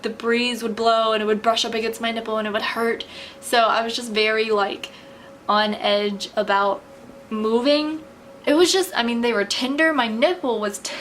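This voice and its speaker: A young woman chats to her camera at home, with a slightly high voice and a loose, rambling way of talking full of fillers. Her voice sounds a bit tired from talking. A faint hiss sits under the recording. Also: fairly bright tone. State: voice tiring from talking. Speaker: vlogger. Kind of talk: casual chat to camera.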